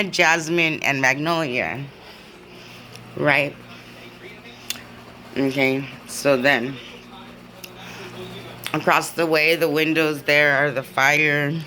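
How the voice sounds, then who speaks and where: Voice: mid-range voice, pushed and bright. A vlogger chatting casually in a video diary, rambling with many fillers and frequent laughs, filmed on a phone in an indoor room. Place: indoor room with a window view. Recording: noisy room ambience.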